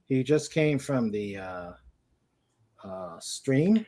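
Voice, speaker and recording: A man chatting casually as co-host of a livestream, halting and often searching for his words. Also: medium pitch, lively intonation, dark tone.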